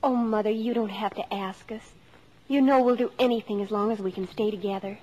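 An actress playing a sincere, devoted teenage daughter who also narrates a 1950s radio drama, with a wistful manner. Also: female voice, mid-range voice, fairly even intonation, fairly quick, mellow, highs cut off, a little hiss or hum, natural voice. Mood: earnest.

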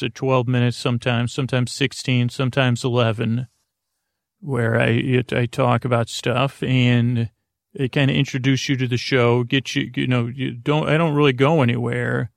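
A man hosting a sleep podcast, talking slowly and meandering with lots of filler words, mumbles and a creaky, soothing tone. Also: medium pitch, little pitch movement, no echo, studio quality. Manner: slow, meandering, soothing and self-deprecating. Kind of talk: rambling bedtime podcast talk.

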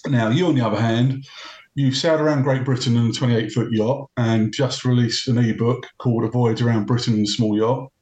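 A man's voice: mid-range voice, fairly even intonation, fairly quick, a little mellow, roomy sound, low-quality recording. A man hosting an interview, chatty and self-deprecating, with lots of fillers. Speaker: podcast host. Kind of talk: interviewing a guest.

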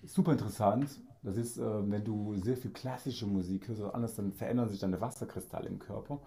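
An upbeat man chats as a podcast guest, laughing often and keeping coming back to the same eager phrases. His voice sits fairly low and sounds warm and dark. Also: brisk, vocal fry. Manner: enthusiastic, laughs often, repeats "auf jeden Fall". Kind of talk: casual chat.

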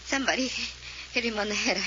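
An actress playing a frightened young woman in a 1940s radio mystery, halting and emotional, on a muffled old recording. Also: mid-range voice, background noise, close to an everyday voice. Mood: shaken.

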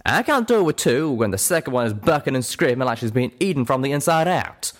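Man putting on a brash, high-pitched pegasus stallion. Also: wildly swooping pitch, moderate pace. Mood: angry, scolding. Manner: blunt, scolding, exclamatory. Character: brash, protective male pegasus pony, hot-tempered.

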